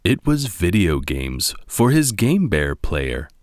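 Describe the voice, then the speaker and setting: A man narrating a children's storybook as a read-along, with a deliberate, expressive delivery. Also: deep voice, no echo, studio quality.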